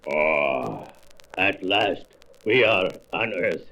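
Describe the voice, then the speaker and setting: A man's voice: low-pitched, animated, vocal fry, sharp tone, full character voice. A man playing an arrogant, commanding alien invader made of stone in a comic-book audio drama, with pompous, boastful delivery. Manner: slow, pompous, boastful declamation. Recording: faintly noisy old record.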